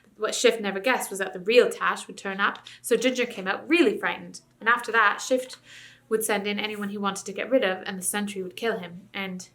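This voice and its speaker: A woman's voice: medium pitch, fairly even intonation, a little fast, fairly bright tone, lightly acted. A woman voicing a brash, scornful schoolboy. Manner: brash, interrupting, indignant. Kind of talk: audiobook character reading.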